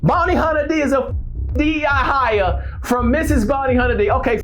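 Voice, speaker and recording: A man's voice: extremely high pitch, moderate pace, fairly bright tone. A man from Ghana speaks as a bounty hunter in an office confrontation. He is assertive and taunting, often repeating his point, with music playing behind him. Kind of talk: confrontational reality-show talk.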